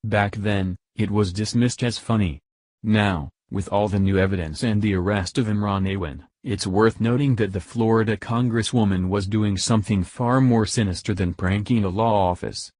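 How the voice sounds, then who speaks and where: A man's voice: on the low side, steady tone, normal speed, a little mellow, no room sound. A man reading scripted political news as a voice-over narrator.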